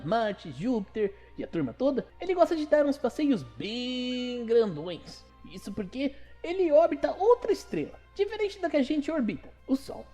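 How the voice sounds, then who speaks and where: A woman's voice: sits high, mellow. A Brazilian woman voicing a cute, childlike planetarium mascot in an audio drama, cheerful and chatty like a children's show presenter. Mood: playful, explaining. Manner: bubbly children's presenter with a verbal tic.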